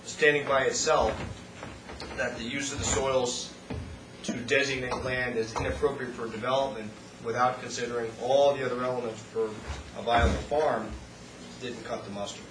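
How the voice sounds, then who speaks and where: Halting man raising a point, distant. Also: medium pitch, fairly even intonation. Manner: deliberate, halting. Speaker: board member. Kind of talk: meeting discussion. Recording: noisy roomy room mic from afar.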